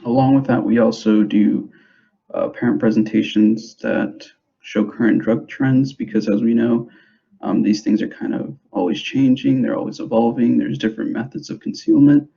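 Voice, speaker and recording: A young man who grew up in the United States, giving an informal presentation to parents in a hesitant, halting way, on a dull, muffled recording. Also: medium pitch, fairly even intonation, vocal fry, warm tone. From grew up in the United States, born in El Salvador. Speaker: school substance abuse prevention specialist.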